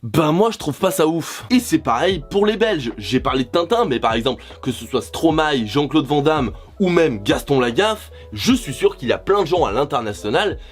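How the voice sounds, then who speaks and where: A man's voice: normal speed, hardly any pauses. A man from France giving sarcastic, scripted comedic commentary as a YouTuber, swearing casually. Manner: sarcastic, swears casually, animated swings in pitch. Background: jazz background music.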